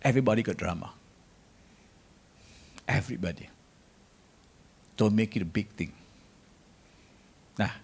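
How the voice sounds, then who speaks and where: A man preaching a sermon in a jokey way, bantering with the congregation and mixing in English phrases. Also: fairly low pitch. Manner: jokey, banters with the congregation and asks them to raise hands.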